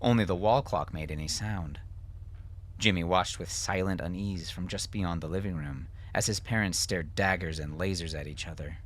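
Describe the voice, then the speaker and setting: A man's voice: sits low, normal speed. A man narrating dramatic fiction from a script as an audiobook narrator.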